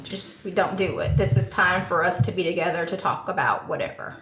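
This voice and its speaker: A woman's voice: on the low side, brisk, fairly bright tone. A woman in her forties chatting casually as a podcast panelist. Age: 40s. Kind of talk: casual group chat. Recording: echoey room with clicky noise.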